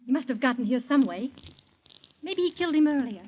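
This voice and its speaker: An actress playing a worried, protective young wife with an earnest, pleading manner in a vintage radio drama. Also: female voice, high-pitched, lively intonation, a little fast. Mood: insistent. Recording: dull lo-fi old radio recording.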